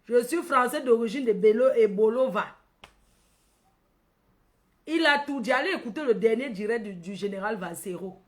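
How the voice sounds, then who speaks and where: A woman's voice: on the high side, animated, moderate pace, pauses often. A woman from Cameroon with a Cameroonian accent hosting a casual livestream, addressing followers by name, repeating phrases and tagging on questions. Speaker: livestream host.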